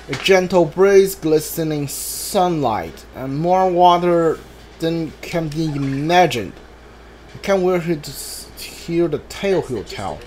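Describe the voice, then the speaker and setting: A man with a fairly high voice streams a video game playthrough. He talks casually and haltingly, thinking aloud and reading screen text as he goes. Game music plays behind him.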